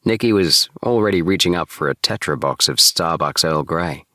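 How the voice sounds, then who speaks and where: A man narrating an audiobook in a calm, steady reading voice. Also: deep voice, moderate pace, no room sound, clean studio sound.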